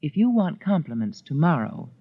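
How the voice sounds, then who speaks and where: A woman delivering a scripted 1940s radio commercial with deliberate, emphatic phrasing. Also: low-pitched, sing-song, slightly rough. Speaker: advertising spokeswoman. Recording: old muffled radio recording.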